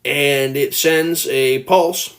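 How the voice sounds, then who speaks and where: A man in his early twenties hosting a casual livestream, talking earnestly and at length and reading viewer comments aloud, his voice turning gravelly at times. He is indoors at home, talking into a phone. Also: on the high side, fairly even intonation, extremely slow. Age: early 20s. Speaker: livestream host.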